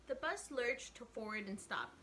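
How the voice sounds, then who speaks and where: A woman with a fairly high voice reads a picture book to young children. She is expressive and speaks simply, like a teacher talking to her class. There is audible noise in the recording. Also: normal speed. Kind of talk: read-aloud storytelling with asides to young listeners.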